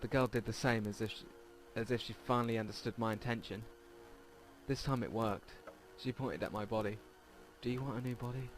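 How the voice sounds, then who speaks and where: A man's voice: medium pitch, fairly even intonation, a little fast. A man hosting a let's play, reading visual novel text aloud and stumbling over words now and then. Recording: game music behind the voice. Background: game music.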